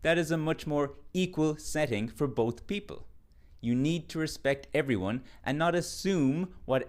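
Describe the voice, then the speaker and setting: A man giving a short English lesson, emphatic and mock-indignant in a lecturing way. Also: mid-range voice. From Ireland. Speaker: English teacher. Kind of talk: direct-to-camera short lesson.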